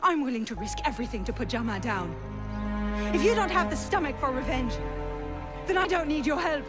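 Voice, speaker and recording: An actress playing a fierce, vengeful young woman in a video game cutscene, with a sharp, commanding delivery. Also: sits high, lively intonation, fairly quick. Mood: bitter, determined. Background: music.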